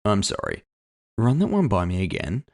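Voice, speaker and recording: Man acting weary, gravelly, low-voiced detective. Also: normal speed, no room sound, studio quality, heavily acted. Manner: weary, grudging, deadpan. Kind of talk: audio fiction character dialogue.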